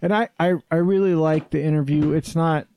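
A man hosting a casual podcast, talking in a rambling, halting way with lots of fillers and restarts. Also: on the high side, fairly even intonation, mellow, smooth. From Utah. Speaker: podcast host. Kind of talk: casual chat. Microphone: studio headset mic.

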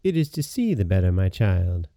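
A man voicing a sly, hungry storybook wolf who coaxes with feigned gentleness. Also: low voice, very animated, normal speed, dry recording, studio quality. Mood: coaxing. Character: sly hungry male wolf, falsely sweet.

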